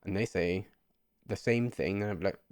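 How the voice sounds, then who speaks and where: A man's voice: deep voice, normal speed, clean tone, no room sound, studio quality. A man, talking earnestly and a bit rambling to camera in a casual vlog. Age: 20s. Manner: earnest, rambling, repeats himself. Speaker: vlogger. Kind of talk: casual reflective monologue to camera.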